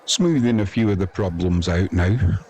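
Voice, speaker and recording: A man's voice: deep voice, moderate pace, a little mellow. A man with an English accent giving casual, rambling, chatty live cricket commentary as the host of a sports stream.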